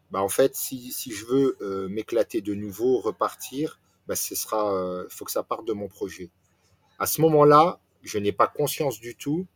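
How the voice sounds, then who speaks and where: Male guest, forties, from France: fairly low voice, casual explanatory storytelling, punctuated by self-posed questions. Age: 40s. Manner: explanatory, poses questions to himself and answers them. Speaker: interview guest, entrepreneur. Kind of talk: casual personal storytelling in an interview.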